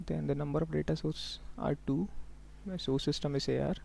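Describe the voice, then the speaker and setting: A man working as a software trainer, giving a step-by-step live tutorial in an instructional way, often prompting listeners to follow along. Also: fairly high pitch, fairly even intonation, brisk, gravelly, slight background noise. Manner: instructional, often checking in with okay and look here.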